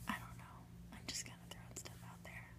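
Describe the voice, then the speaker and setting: A woman whispering softly and breathily in an ASMR style, gentle and unhurried, as a reader and host. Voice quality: breathy, mostly unvoiced whisper. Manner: soft, unhurried, gentle delivery. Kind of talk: whispered ASMR, storybook reading and soft chat.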